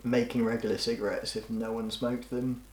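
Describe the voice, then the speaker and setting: A young man co-hosts a casual podcast in a mid-pitched voice. He drops dry, deadpan asides into the chat. The sound is roomy, with a clear echo around him. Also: moderate pace. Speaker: podcast co-host.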